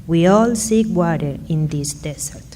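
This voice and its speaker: An actress playing a kind, wise woman of a desert town in a radio play, measured and solemn. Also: on the low side, close to an everyday voice. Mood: gentle, solemn. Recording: hall reverb, faint noise.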